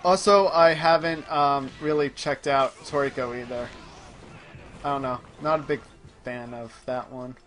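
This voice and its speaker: A man in a relaxed, informal conversation about manga, speaking as a podcast co-host. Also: mid-range voice, unhurried, faint music behind. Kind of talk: casual chat about manga.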